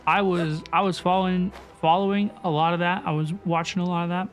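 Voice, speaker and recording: A high-pitched male livestreamer chats casually while reacting to a game. He is informal and tends to repeat himself, with faint game music behind him. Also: little pitch movement, a little fast. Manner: chatty, informal, repeats phrases. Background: video game music.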